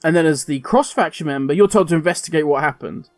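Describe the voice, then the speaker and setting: A man, a gaming commentator, musing aloud in a casual, conversational way, thinking out loud with fillers. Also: on the high side, fast, no room sound, faint music behind. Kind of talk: casual speculative monologue.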